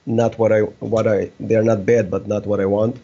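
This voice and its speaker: A man's voice: on the low side, flat delivery, a little fast, dark tone, lo-fi sound. A man from Serbia with a Serbian accent, chatting casually as a podcast guest, candid and emphatic, often repeating himself.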